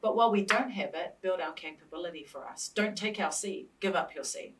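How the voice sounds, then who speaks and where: A woman's voice: fairly low pitch, brisk, a bit bright, far from the mic. A woman speaking as a studio guest, emphatic and punchy, full of rhetorical questions and repetition. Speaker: guest, local election candidate. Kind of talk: passionate studio discussion.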